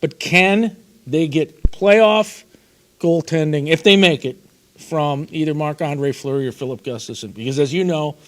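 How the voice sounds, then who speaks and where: A man's voice: on the high side, measured pace. A man co-hosting a casual sports talk show, giving folksy, opinionated takes.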